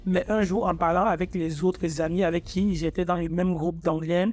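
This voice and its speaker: A young man in his twenties with a fairly high-pitched voice speaks informally to his viewers, as a student vlogger would. He is from Africa and a native speaker of English. Faint music plays behind him. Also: fairly even intonation, normal speed, a little mellow. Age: 20s. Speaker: architecture student presenting his own channel. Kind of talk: personal vlog, talking to viewers.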